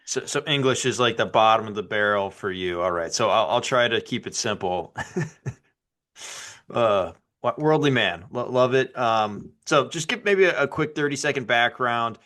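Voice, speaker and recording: A man's voice: mid-range voice, lively intonation, normal speed, a bit bright. A man hosting a podcast, asking questions in a casual, upbeat way with frequent restarts. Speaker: podcast host. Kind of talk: podcast interview and ad read.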